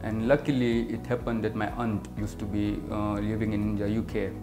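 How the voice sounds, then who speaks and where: Kenyan man, 30s, optician, low voice, measured, many fillers, echoey room. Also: flat delivery. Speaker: optician and business owner. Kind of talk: interview answers. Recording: echoey room with music behind.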